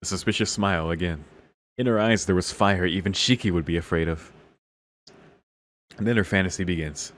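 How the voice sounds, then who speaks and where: A man's voice: low voice, fairly quick, pauses often, a little hiss or hum. A man reading a visual novel aloud in a casual, slangy, amused way, swearing and reacting off the cuff. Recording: music behind the voice.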